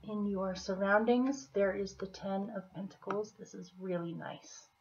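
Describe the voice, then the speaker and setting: A woman with a slightly hoarse, scratchy throat, giving a casual tarot reading in an unhurried, deliberate manner with pauses as she explains the cards. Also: medium pitch, darkish tone. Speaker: tarot reader. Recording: dull, muffled lo-fi audio.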